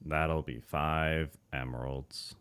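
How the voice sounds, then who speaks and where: A man voicing a gloomy, weary shopkeeper in an animation, droning with flat, resigned effort at pep. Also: extremely low pitch, slow pace, clean tone, full character voice. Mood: depressed, dutiful. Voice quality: droning, Squidward-like tone. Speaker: voice actor recording takes. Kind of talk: animated cartoon voice acting.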